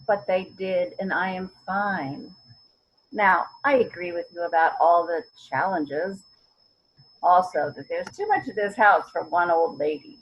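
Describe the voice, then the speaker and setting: A woman's voice: on the low side, unhurried, highs cut off, slight background noise. A woman acting a stubborn, principled, wry elderly mother in a readers theater drama, often searching for words and then stressing them. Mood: wry, reflective. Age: older. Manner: word-searching, emphatic, wry.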